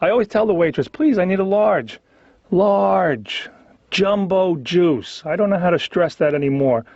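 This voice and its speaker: A man's voice: high voice, lively intonation, a little slow, a little mellow, no echo. A man doing a conversational observational comedy monologue, complaining in a neurotic, exasperated way. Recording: slightly dull lo-fi cartoon soundtrack.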